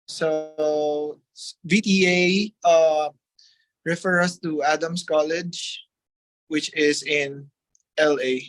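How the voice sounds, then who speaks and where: High-voiced Filipino man, dry sound. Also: steady tone, slow, fairly bright tone, no room sound, rough recording. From Philippines. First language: Tagalog. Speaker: interview guest, international student. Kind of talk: testimonial interview.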